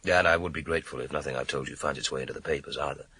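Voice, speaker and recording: An actor playing a weary, sardonic police inspector in a radio crime drama, blunt and dry in manner. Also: male voice, a bass voice, fairly even intonation, racing, bright, forceful tone, slightly rough, no room sound, full character voice. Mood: grim, dry.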